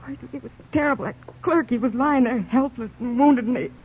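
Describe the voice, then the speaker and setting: An actress playing a shaken American crime witness in a radio drama, speaking plainly in a hesitant, nervous way. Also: female voice, a little high, natural voice. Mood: distressed. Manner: halting, nervous, plain-spoken. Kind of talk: 1950s radio drama acting. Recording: muffled, noisy old-time radio.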